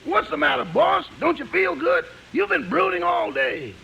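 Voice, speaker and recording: A man playing a devoted, cheeky household servant with a raspy voice, wisecracking emphatically in a 1950s radio comedy. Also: very high voice, very animated, normal speed, pushed and bright, full character voice. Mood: concerned, wisecracking. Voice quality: gravelly, raspy. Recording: very noisy 1950s radio recording.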